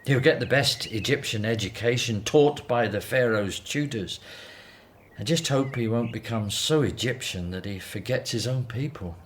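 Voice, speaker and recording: A man's voice: mid-range voice, moderate pace, slight background noise, lightly acted. A man playing an earnest, devout Hebrew father in a biblical radio drama. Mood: wondering, worried. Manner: earnest, expressive. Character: devout, anxious Hebrew father in ancient Egypt.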